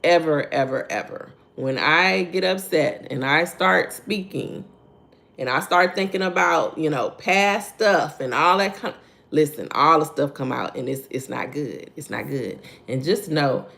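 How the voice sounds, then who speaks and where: A soft-spoken woman with a Texan accent, leading a slow, conversational morning devotional and greeting viewers by name. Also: deep voice, vocal fry. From Texas. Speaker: devotional host. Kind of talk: live-streamed morning devotional.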